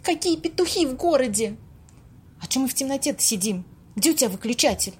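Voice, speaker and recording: A woman acting an impatient, sharp-tongued young woman in an amateur audio play, snappy and sarcastic. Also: high voice, animated, fairly quick, a little hiss or hum. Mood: hushed, skeptical.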